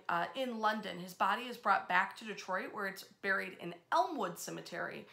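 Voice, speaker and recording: A woman in her thirties hosting informal history storytelling to camera, with emphatic, expressive delivery. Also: medium pitch. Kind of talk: informal storytelling to camera, part read aloud. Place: small bedroom, indoors.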